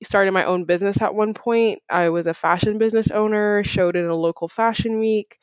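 A woman from Barbados chats as an interview guest in an informal, conversational way. Her voice is mid-pitched, and the sound is dry and somewhat dull. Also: steady tone, brisk, dry recording. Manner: informal, peppered with "like" and "you know". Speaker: podcast guest. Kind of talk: casual conversational interview answers.

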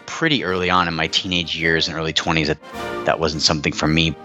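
A man's voice: rumbling low, flat delivery, fast talker, dull audio, over loud music. An American man talking conversationally about himself in an informal, emphatic storytelling way, as a guest in an interview.

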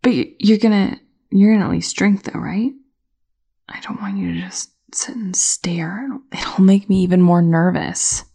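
A woman's voice: medium pitch, steady tone, moderate pace, vocal fry, mellow, husky. An actress playing an anxious young woman in a found-footage audio drama, speaking hesitantly with sighs and whispers. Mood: nervous. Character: anxious, searching young woman confiding to a recorder.

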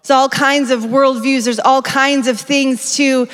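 A woman delivers a sermon at a church conference, her voice sitting somewhat high. She talks in an energetic, conversational way and often corrects herself mid-sentence. Her stage mic carries the long reverb of a large hall. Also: a little slow, clean tone. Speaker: conference speaker.

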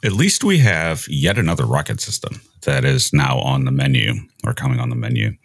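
Very deep, gravelly male podcast host. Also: very animated, brisk, dry recording, studio quality. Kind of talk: conversational interview questions.

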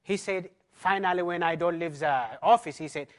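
A man's voice: on the high side, moderate pace. A man pastor from Ethiopia with an Ethiopian accent, giving an emphatic personal testimony as a conference talk in non-native English.

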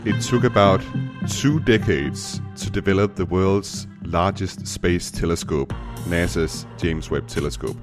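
Low-voiced Danish host, halting speech. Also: male voice, over loud music. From Denmark. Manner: hesitant, many uh fillers. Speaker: podcast host. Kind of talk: interview podcast.

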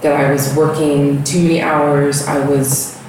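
A woman's voice: sits low, little pitch movement, measured pace, darkish tone. A woman raised in the US, talking reflectively about her life as a guest in an interview at home, with fillers as she goes. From Ghana, raised in the US. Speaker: guest, yoga studio founder. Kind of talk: casual interview talk. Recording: echoey room, distant mic, background noise.

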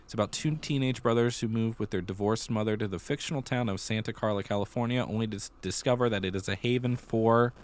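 A man with a fairly low voice co-hosts a podcast. He talks casually and explains things in an easy conversational flow, like someone filling in a friend on the news. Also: fast talker, few pauses. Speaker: theater podcast co-host. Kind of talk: casual chat about musical theater news.

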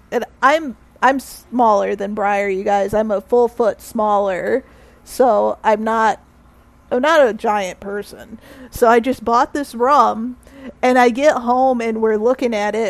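A woman guest-hosting a casual podcast, telling rambling stories and laughing often. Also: fairly high pitch. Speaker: guest co-host.

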